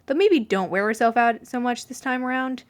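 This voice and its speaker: A young mafioso from the future, played by a woman, speaks in an audiobook story. He is stern, yet gentle and reassuring with those he trusts. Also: fairly high pitch, moderate pace, voice pushed up, natural voice. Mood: gentle teasing.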